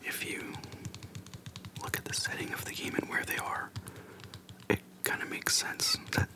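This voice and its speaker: Soft, breathy ASMR whisper. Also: measured pace. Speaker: ASMR video host. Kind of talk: casual rambling game retrospective. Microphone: close microphone.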